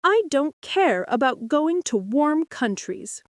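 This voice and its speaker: A woman's voice: high voice, very animated, slow pace, no room sound, clean studio sound, pitched above the performer's own voice, full character voice. A woman voicing a frightened, reluctant young stork in a storybook, childlike and questioning. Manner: anxious, childlike questioning and protesting.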